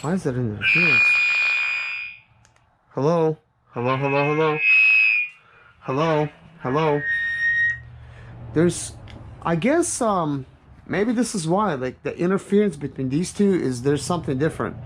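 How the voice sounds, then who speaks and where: A man's voice: on the high side, animated, unhurried, long pauses. A man giving casual, rambling hands-on commentary as he tests a product, thinking aloud as he goes. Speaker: product reviewer. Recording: noisy recording with clicks.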